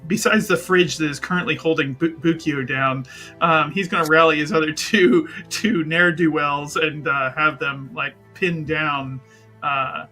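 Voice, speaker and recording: A man playing a tabletop game in casual chat, excitable and repeating words as he goes. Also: a little high, unhurried, soft background music.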